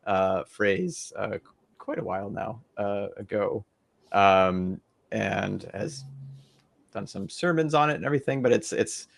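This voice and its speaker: A young man with a low, gravelly voice talks through an idea on a casual podcast. He rambles thoughtfully, circling back with fillers and restarts as he works out what he means. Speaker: podcast co-host.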